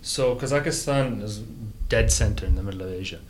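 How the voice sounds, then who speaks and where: A man's voice: lowish voice, a little fast, a little hiss or hum. A young man co-hosting a podcast, telling a rambling story and correcting himself as he goes. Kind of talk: casual chat.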